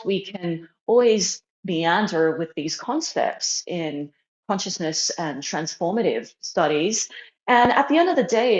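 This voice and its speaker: A young adult woman from Australia, born in China, introducing herself as an interview guest in an earnest, reflective way. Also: mid-range voice. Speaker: guest, psychology doctoral student. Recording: roomy, dull lo-fi online audio.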